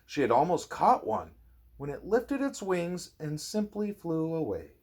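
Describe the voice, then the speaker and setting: A man reading a storybook aloud to a child as a parent, expressively and with drawn-out phrases. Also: a little high, sing-song, darkish tone.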